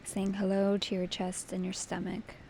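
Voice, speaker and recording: A woman leading a guided meditation with gentle, soothing, unhurried instruction. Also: mid-range voice, completely flat delivery, vocal fry, slight background noise.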